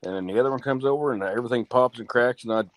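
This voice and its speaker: Quick-talking man in his forties. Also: mid-range voice, dry recording. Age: 40s. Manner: quick, self-deprecating. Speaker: chat participant. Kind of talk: casual group chat.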